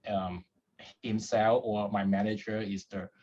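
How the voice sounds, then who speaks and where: A man from Hong Kong with a low, husky voice, speaking as a podcast guest. He talks in halting phrases and often repeats words while he thinks. The recording sounds dull and lo-fi. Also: little pitch movement, warm tone. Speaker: guest, head of data at a startup. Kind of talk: podcast interview answers.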